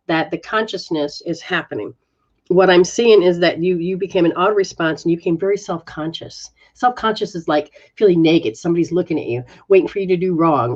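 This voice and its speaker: A woman giving a live spiritual reading and healing session, coaching softly with repeated phrases. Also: lowish voice, lo-fi sound. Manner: soft, coaching, repetitive phrasing. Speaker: metaphysical practitioner giving readings.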